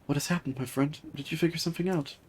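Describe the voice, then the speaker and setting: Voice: mid-range voice, fast talker, a little hiss or hum, close to an everyday voice. Earnest, gallant fish-man prince read by a streamer. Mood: courteous. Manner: formal, gallant. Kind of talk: reading video game dialogue aloud in character.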